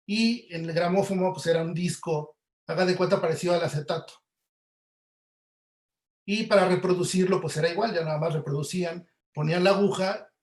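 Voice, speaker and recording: A man giving an informal webinar lecture, halting and often checking that listeners follow. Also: high-pitched. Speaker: audio producer giving a talk. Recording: roomy, echoey webinar audio.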